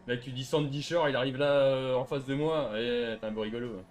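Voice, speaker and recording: A man's voice: medium pitch, steady tone, fairly quick, slight background noise. A man chatting casually and argumentatively while gaming, with a mocking manner. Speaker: gamer trolling in online roleplay. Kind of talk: casual gameplay chat.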